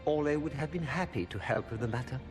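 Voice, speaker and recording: An actor playing a courteous court diplomat, formal and polite, in a video game. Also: male voice, medium pitch, quick pace, darkish tone, lightly acted. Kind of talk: video game dialogue acting. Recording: long hall reverb, music behind.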